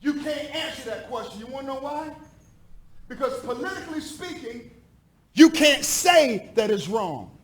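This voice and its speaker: High-pitched man in impassioned public speech. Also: lively intonation. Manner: emphatic, deliberate, confrontational rhetorical questions. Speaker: pastor speaking at school board meeting.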